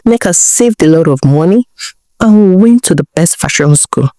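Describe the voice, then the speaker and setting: A woman's voice: fairly low pitch, animated, moderate pace, warm tone, dry recording. Nigerian woman storyteller, dry close sound. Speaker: storyteller, narrator. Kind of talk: narrated folktale storytelling.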